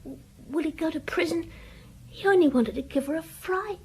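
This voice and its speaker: A woman's voice: extremely high pitch, moderate pace, darkish tone, low-quality recording. A woman playing an anxious village child in a radio drama, hesitant and plain-spoken. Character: anxious village child, troubled by a drunken father.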